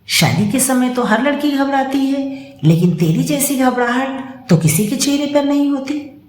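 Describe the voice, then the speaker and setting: A woman narrator voices a lively young female friend of a bride in an audio story. She sounds questioning and concerned. The recording is noticeably echoey. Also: high-pitched, measured pace, voice pushed up. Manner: teasing, questioning. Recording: roomy, echoing sound.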